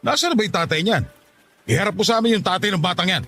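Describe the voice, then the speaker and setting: A man's voice: sits high, fast talker, pushed and bright, soft background music. A man playing an angry, protective middle-aged father in a radio drama, indignant and scolding. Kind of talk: Tagalog radio drama acting.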